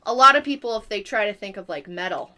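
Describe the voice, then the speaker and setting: A woman's voice: medium pitch, animated, fast, sharp tone. A young adult woman chatting casually as a live streamer, explaining things in a rambling way. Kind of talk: casual chat.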